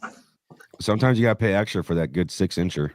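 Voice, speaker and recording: A man hosting a podcast in brash, profane banter, his voice hoarse and raspy after being sick. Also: sits low, animated, fast talker, a little mellow. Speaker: podcast host. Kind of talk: casual crude banter.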